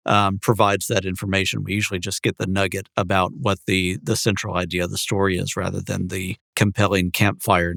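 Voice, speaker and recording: A man with a fairly low voice talks casually as a podcast co-host. He explains things at length in a measured manner, and the sound is dry and close, free of room echo. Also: fairly bright tone.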